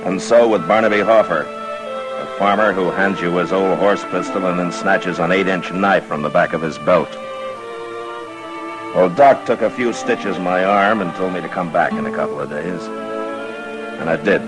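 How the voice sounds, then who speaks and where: A man plays a stern western marshal in old-time radio drama. His American voice is deep and steady, with dry humor and few words. Faint music can sit behind him. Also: normal speed, lightly acted. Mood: reflective narration. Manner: laconic, steady. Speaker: lead actor and narrator.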